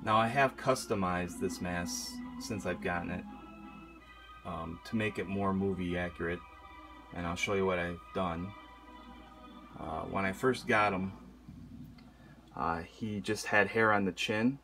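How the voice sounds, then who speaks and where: A man's voice: sits low, measured pace, pauses often. A man casually showing off a collectible horror mask in a vlog, talking loosely with lots of ums and uhs. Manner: relaxed, many filler ums and uhs. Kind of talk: casual show-and-tell vlog. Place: indoor room with horror posters. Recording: music playing clearly behind.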